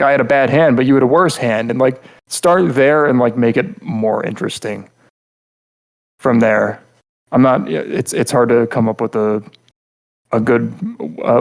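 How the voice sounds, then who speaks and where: A man's voice: medium pitch, darkish tone. A man chatting casually as a podcast panelist, very informal and colloquial in his wording. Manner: informal, peppered with "like". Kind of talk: casual chat.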